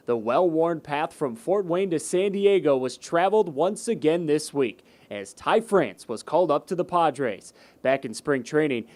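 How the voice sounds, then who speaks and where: American guy doing baseball play-by-play, pretty high voice, swings way up and down. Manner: animated, shouts on big plays. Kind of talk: live sports play-by-play commentary.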